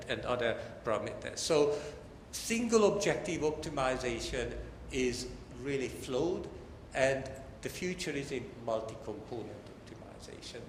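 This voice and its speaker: A man with a Hungarian accent giving a formal academic lecture, explaining deliberately and addressing his listeners as he goes. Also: medium pitch. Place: large lecture hall. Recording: echoey hall, long reverb, faint noise.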